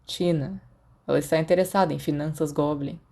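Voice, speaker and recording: Studious teenage boy wizard read by a woman, Brazilian accent, low-leaning pitch, wry, reserved, audiobook character. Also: steady tone, brisk, mellow. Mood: dry.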